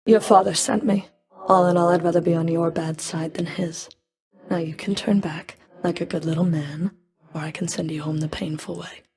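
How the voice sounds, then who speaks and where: Woman doing a creaky cool underworld fury for a video game, dry sarcasm, clipped and stern. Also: fairly low pitch, fairly even intonation, moderate pace, darkish tone, lightly acted. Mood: cold, threatening. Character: stern, sardonic Fury, underworld enforcer with a whip. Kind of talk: video game character voice acting.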